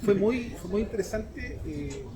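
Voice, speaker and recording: A man speaking as a guest in casual conversation, haltingly repeating phrases as he explains. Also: sits high, lively intonation, over a noisy crowd. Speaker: guest, book project creator.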